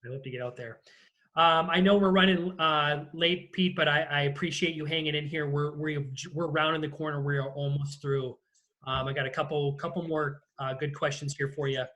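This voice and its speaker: A man's voice: on the high side, fairly even intonation, moderate pace, bright, forceful tone. A man hosting a casual interview over a video call, asking his guest questions. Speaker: interview host.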